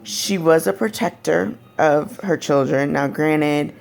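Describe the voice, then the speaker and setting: Raspy deep-voiced woman, candid. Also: slow pace, a little mellow. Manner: candid, frank and conversational. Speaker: vlogger. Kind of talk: personal storytelling to camera.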